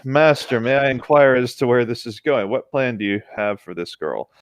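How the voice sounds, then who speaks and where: A man's voice: mid-range voice, lively intonation, normal speed, clear, resonant tone, dry recording, studio quality, close to an everyday voice. A man voicing a formal, theatrical older wizard henchman from a story, haughty yet obsequious. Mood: deferential.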